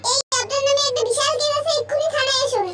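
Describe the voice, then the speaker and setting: A woman's voice: extremely high pitch, racing, over loud music, pitched above the performer's own voice. A woman playing a brusque, accusing police station chief in a comedy phone skit. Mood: bossy, accusing. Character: bossy police station chief making a prank call.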